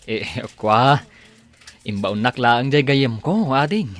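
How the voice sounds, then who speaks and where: A man's voice: mid-range voice, animated, slow pace, a bit bright. A man with an Ilocano accent playing a smitten, bashful young suitor in an audio drama, hesitant and stammering. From Philippines.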